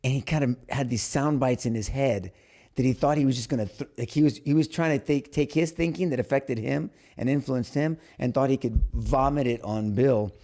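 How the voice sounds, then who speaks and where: Gravelly, dark-voiced man hosting a podcast. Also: medium pitch, moderate pace. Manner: blunt, profane, lots of restarts. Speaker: podcast host. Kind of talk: casual chat.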